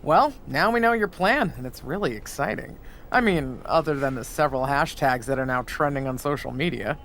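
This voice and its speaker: An actress playing a harried, wry wrestling official in a conversational video game cutscene. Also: female voice, rumbling low, fairly quick, slight background noise. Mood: worried. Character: harried wrestling show official juggling complaints. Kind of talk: conversational scripted cutscene acting.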